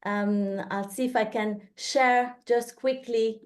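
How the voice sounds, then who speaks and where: A woman hosting an interview and introducing her guest, halting with many restarts. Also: fairly high pitch, steady tone. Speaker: host, newsletter editor.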